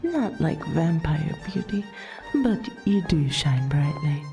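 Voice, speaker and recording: A woman acts out a flirtatious vampire royal in an ASMR roleplay. She is courtly and teasing, drawing out her breathy words in a low, mellow voice. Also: very animated, slow pace, soft background music, exaggerated voice. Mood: flirty, teasing.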